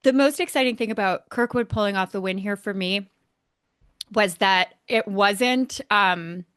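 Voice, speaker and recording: A woman's voice: mid-range voice, unhurried, fairly bright tone, clean tone, no room sound, clean studio sound. A woman co-hosting a casual motorsport recap show, talking in an emphatic, opinionated way. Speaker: podcast co-host.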